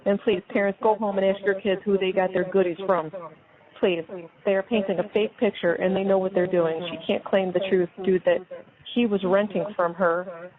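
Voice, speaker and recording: A woman's voice: medium pitch, flat delivery, moderate pace. A middle-aged American woman talking and reading aloud casually on a phone call, blunt and indignant, stumbling now and then. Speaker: mother on a jail phone call. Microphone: speakerphone. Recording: muffled phone line on speakerphone, chatter behind.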